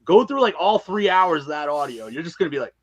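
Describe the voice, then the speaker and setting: A man's voice: fairly high pitch, very animated, quick pace, dry recording. A man talking casually and candidly as a podcast interview guest, with emphatic, colloquial phrasing. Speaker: guest, audio drama writer and filmmaker.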